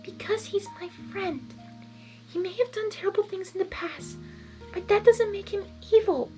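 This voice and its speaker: A woman voicing a shy, gentle young female pony who speaks softly and pleads. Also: extremely high pitch, moderate pace, faint music behind, pitched above the performer's own voice. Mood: soft pleading. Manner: soft, timid and pleading.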